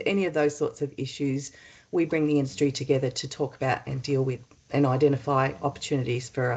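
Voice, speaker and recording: An Australian woman with a husky head-cold voice, chairing a webinar in a chatty way with many ums and uhs. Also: low-pitched, darkish tone. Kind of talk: webinar opening remarks. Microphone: computer mic on a video call.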